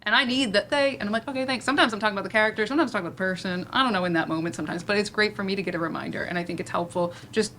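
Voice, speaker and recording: A woman's voice: mid-range voice, rapid-fire, few pauses, fairly bright tone. A woman speaking conversationally as a moderator and theater director in a panel discussion, affirming others and inviting their input. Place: live panel event in a room. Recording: noticeable room noise.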